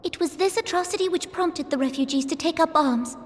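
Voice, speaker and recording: An actress playing a young, regal female ruler who speaks in a measured, formal and stately way in a game cutscene. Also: very high voice. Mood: resolute.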